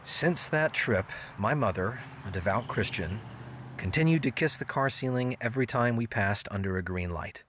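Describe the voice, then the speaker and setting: A man's voice: low voice, fairly bright tone, no echo, studio quality. A man playing a grown son who narrates memories of his odd family in an audio drama, wry and reflective. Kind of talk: scripted first-person audio drama narration. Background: guitar music.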